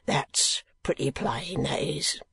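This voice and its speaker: A woman reading an audiobook, voicing a sulky working-class male keeper in a gruff, blunt drawl. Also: very deep voice, slow, a bit bright, no room sound, full character voice.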